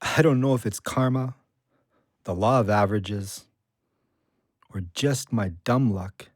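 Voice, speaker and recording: A man's voice: on the low side, unhurried, dry recording, clean studio sound. A man acting in an audio play as a reflective ex-convict trying to stay sober, with a Canadian accent and an earnest, colloquial manner. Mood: wry reflection.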